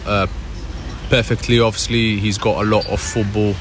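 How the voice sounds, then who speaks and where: A man's voice: lowish voice, level tone, moderate pace, background noise. A young man from Spain, a footballer, answering interview questions by a pool outdoors, with many restarts and fillers. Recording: music playing behind. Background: music.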